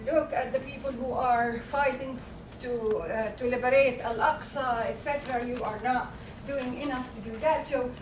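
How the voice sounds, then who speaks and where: Loud high-voiced woman from Palestine. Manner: firm, declarative, loud voice. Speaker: panel speaker. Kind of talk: conference presentation. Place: conference hall. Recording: echoey room, far mic, noisy.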